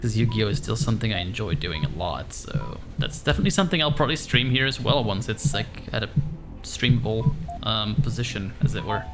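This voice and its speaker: A young man with a Dutch accent chatting casually while streaming a game, often laughing and filling pauses with "um" and "like". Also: lowish voice, lively intonation. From Netherlands. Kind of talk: casual live-stream chat while gaming. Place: echoey room. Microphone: desk microphone. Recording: room echo with game music behind.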